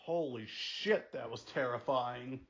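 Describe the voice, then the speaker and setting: A man's voice: mid-range voice, slow. A man giving short spoken reactions in a homemade scenario. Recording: dull lo-fi audio.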